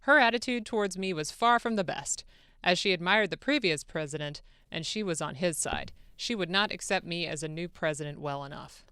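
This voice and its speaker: A woman's voice: on the low side, brisk, bright, forceful tone, clean tone, no room sound, studio quality. A woman voicing an eager, deferential foreign president in a formal, polite dramatic reading. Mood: earnest, deferential. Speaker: radio show producer. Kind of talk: dramatic reading of a phone-call transcript. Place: radio studio. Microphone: close studio microphone.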